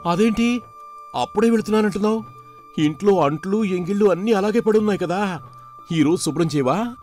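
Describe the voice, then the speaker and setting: A man's voice: high-pitched, brisk, darkish tone, faint music behind, close to an everyday voice. A man playing a curious, kind-hearted household servant in a children's moral story, earnest and inquisitive. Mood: puzzled, questioning.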